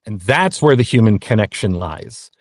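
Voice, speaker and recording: A man's voice: on the low side, lively intonation, moderate pace, no room sound, studio quality. A man talking casually and earnestly as an interview guest and voice actor, often interrupting himself as he goes.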